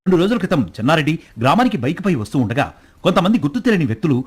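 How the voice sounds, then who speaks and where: A man delivers scripted news narration at a very rapid clip. His voice sits slightly high for a male reader. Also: big pitch swings, slightly rough.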